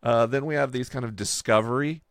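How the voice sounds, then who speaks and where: A man's voice: medium pitch, brisk, clear, resonant tone, no echo, clean studio sound. A man explaining a fictional world in a casual, enthusiastic discussion as a host and creator.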